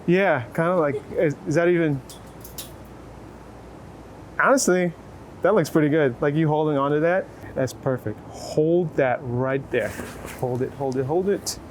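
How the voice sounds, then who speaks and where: A man's voice: fairly high pitch, a little slow, long pauses. A man working as a photographer outdoors in a city, chatting casually and encouragingly as he gives short, repeated posing instructions. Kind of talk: casual chat while directing portrait subjects. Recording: city street ambience and traffic noise.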